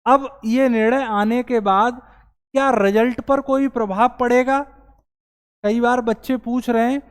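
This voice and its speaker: A man teaching as an exam coaching teacher, explaining recruitment news to students in an earnest, explanatory way and slowing down to stress his points. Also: extremely high pitch, clear, resonant tone, in a large hall.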